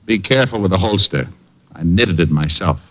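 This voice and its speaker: An actor playing a wisecracking private eye who narrates and quips in a dry, sardonic way, in 1950s radio drama style. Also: male voice, deep voice, lively intonation, a little fast. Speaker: narrator and lead. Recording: dull lo-fi old radio broadcast with faint music.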